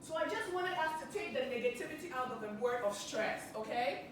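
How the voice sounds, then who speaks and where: A woman's voice: high voice, lively intonation, brisk. A woman with a Ghanaian accent leading an interactive stress-relief workshop, cheerful and chatty, laughing often and asking the audience questions. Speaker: workshop speaker. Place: meeting room with a small live audience. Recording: echoey room, mic far off, background noise.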